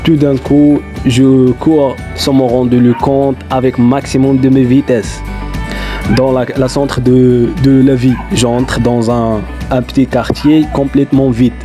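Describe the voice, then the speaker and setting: This is a Moroccan man with a Moroccan accent, Darija-born, who speaks in a soft, darkish tone. He tells his stories in a chatty, rambling way, with fillers and easygoing non-native phrasing. Quiet music sits faintly behind his voice. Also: mid-range voice, flat delivery, slow. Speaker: YouTuber. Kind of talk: casual vlog storytelling.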